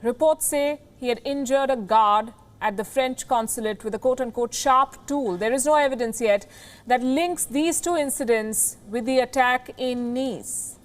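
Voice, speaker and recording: A woman's voice: fairly high pitch, measured pace. A woman with an Indian accent reading scripted news as an anchor, emphatic and formal.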